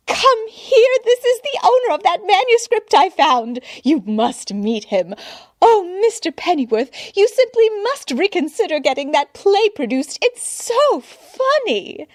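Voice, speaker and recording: A woman's voice: big pitch swings, measured pace, a little mellow, dry recording, studio quality, heavily acted. A woman reading aloud, voicing an effusive older society lady who gushes over everyone she meets. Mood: gushing. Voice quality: shrill, breathless gushing.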